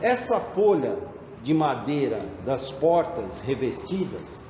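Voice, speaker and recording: A man with a Brazilian accent giving a hands-on tutorial in a deliberate, step-by-step explanatory way. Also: medium pitch, very animated, warm tone. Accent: Brazilian Portuguese. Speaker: tradesman demonstrating door painting. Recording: dull, echoey, reverberant room with background noise.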